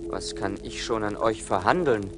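A man's voice: on the low side, normal speed, close to an everyday voice. An actor playing a naive, anxious young man in a theatrical radio play, speaking with hesitant wonder. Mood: wary. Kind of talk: German radio play acting. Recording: 1980s vinyl radio play with music.

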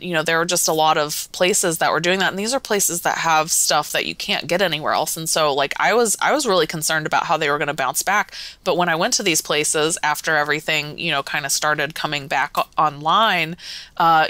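An American woman chats as a podcast guest. Her voice sits a little low, with a bright edge and smooth clarity. She explains things conversationally. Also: no room sound. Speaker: podcast guest, whiskey magazine editor.

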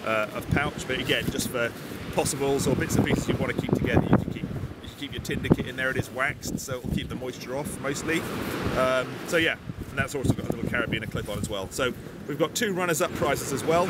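A man in his forties, from England, chatting casually outdoors on a beach, relaxed with lots of ums and uhs. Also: mid-range voice, quick pace. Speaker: bushcraft vlogger. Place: outdoors on a sunny beach, windy. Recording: loud wind and sea noise.

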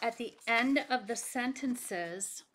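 Bright-voiced woman reading a picture book. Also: fairly high pitch. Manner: expressive, deliberate pauses for the listener. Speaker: read-aloud storyteller. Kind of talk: children's picture book read-aloud, explanatory. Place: home kitchen, indoors.

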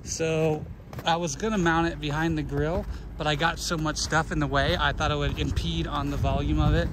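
A man's voice: on the high side, steady tone, moderate pace. An American man giving a casual, offhand product demonstration in a conversational way. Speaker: vlogger reviewing gear. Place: outdoors at and in a vehicle. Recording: heavy outdoor vehicle noise.

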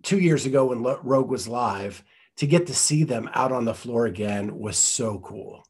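Husky-voiced enthusiastic podcast host. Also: male voice, mid-range voice, moderate pace, a little mellow. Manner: enthusiastic, friendly questions. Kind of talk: casual interview. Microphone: studio mic in front.

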